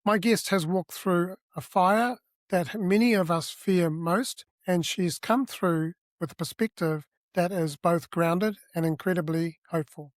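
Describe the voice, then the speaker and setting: A man's voice: sits high, unhurried, hardly any pauses, no echo. A man hosting a podcast, reading a prepared introduction earnestly and then welcoming his guest graciously. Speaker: podcast host. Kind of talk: scripted introduction and interviewing.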